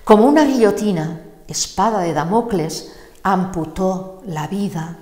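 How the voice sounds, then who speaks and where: A woman's voice: medium pitch, warm tone. Older woman declaiming poetry, echoey room. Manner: declamatory, emphatic pauses. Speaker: guest poet. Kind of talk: dramatic poetry reading, then studio chat. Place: small TV studio set. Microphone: lapel. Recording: echoey studio with long reverb.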